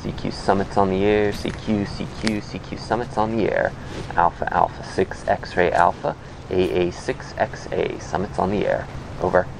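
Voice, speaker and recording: A man's voice: deep voice, little pitch movement, moderate pace, creaky, background noise. An American man working as an amateur radio operator, making repetitive, procedural calls with spelled-out call signs. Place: outdoors on a hilltop.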